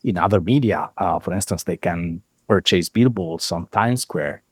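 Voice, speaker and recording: A middle-aged man with an Italian accent speaks English as a second language in a lowish voice. He explains things patiently, pausing often on "uh" as he gathers his thoughts. His voice sounds dry and close, with little room around it. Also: slightly rough, no echo. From Italy. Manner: measured, with frequent "uh" fillers. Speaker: guest, software founder. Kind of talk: conversational explanation.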